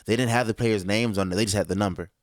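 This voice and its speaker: A man's voice: lowish voice, fairly even intonation, fast, no room sound, clean studio sound. A Texan man co-hosting a podcast, laid-back and slangy in casual sports chat. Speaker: podcast co-host.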